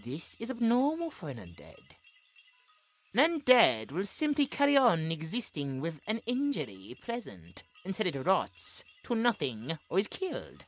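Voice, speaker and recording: A cold, imperious female scientist, played by a woman for a horror audio drama. Her low voice is forceful and crisply theatrical, swinging dramatically in pitch. Faint music plays behind her clinical delivery. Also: wildly swooping pitch, measured pace, exaggerated voice. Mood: intrigued, scheming. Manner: theatrical, clinical log narration with dramatic emphasis.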